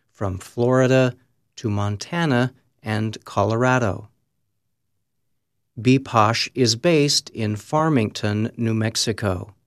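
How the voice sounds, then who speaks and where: Slow, careful male newsreader. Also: medium pitch, no echo. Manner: slow, careful, clear delivery. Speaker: news presenter. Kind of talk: slow scripted news reading. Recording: dry studio sound.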